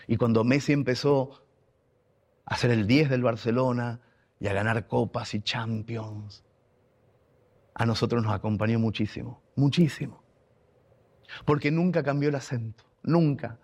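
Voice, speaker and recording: A man's voice: medium pitch, darkish tone. A man from Argentina with an Argentine accent, telling a personal story in an emotional, emphatic way, repeating words for weight. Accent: Argentine Spanish. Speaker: radio contributor and writer.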